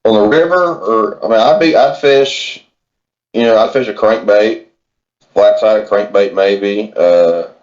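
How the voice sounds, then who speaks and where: A man's voice: on the low side, a little slow, darkish tone, lo-fi sound. An American man chatting casually as an angler guest in an interview, laid-back and plain-spoken, over a remote call. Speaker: podcast guest, tournament kayak angler.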